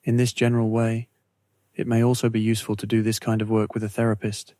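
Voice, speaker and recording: A man narrating a scripted guided meditation in a measured, soothing way, with deliberate phrasing and pauses. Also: on the low side, completely flat delivery, warm tone, dry recording.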